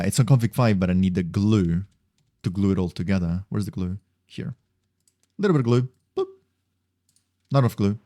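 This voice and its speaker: A man's voice: sits low, mellow, no room sound, studio quality. A man chatting casually on a game livestream, thinking aloud in a rambling, repetitive way. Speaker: game streamer. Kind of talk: casual livestream chat while gaming.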